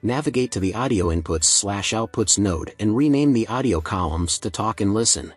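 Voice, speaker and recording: A man reading a scripted software tutorial in a measured, procedural instructional manner. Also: lowish voice, animated, breathy edge, soft background music.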